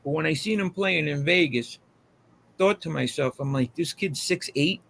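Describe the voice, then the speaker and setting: A man's voice: fairly high pitch, moderate pace, creaky, a bit bright, a little hiss or hum. An American man in casual chat on a live hangout, with a slangy, profane way of talking.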